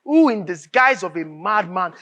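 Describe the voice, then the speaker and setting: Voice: medium pitch, expressive, moderate pace, a bit bright, close to an everyday voice. An actor with a Nigerian accent playing an anguished, bitter writer in a live stage drama, declaiming emphatically and repeating phrases. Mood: agitated. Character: anguished, bitter writer raging at a corrupt nation.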